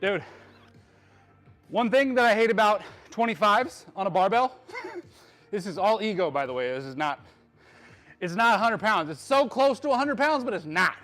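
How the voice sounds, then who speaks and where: A man's voice: high-pitched, lively intonation, normal speed, pauses often, a bit bright, soft background music. A man hosting a live workout stream, chatting with viewers between lifts in a joking, hyped-up coaching way. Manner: hyped, joking, bro-style coaching talk.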